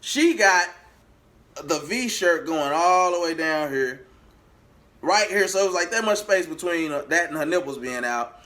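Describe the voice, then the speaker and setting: Guy w a high bright voice just telling a story on his vlog, slangy, animated, does the convos. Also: unhurried. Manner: animated, slangy, re-enacts conversations. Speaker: vlogger and amateur singer.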